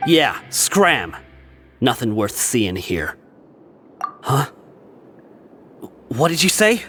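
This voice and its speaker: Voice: medium pitch, big pitch swings, very slow, fairly bright tone, faint music behind, heavily acted. An actor playing a brusque, dismissive but loyal prison gang member in a video game.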